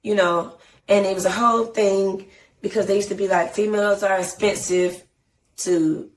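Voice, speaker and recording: Southern woman, low voice, chatty rambling guest, a bit distant on mic. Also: normal speed. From Atlanta. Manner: animated, rambling, frequent "you know what I'm saying". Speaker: guest artist. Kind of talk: casual interview.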